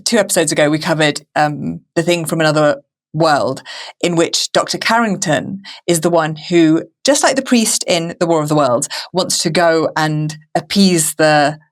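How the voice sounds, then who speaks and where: A woman with a slightly low voice hosts a casual film discussion. She talks conversationally, as a podcast host among fellow guests. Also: moderate pace.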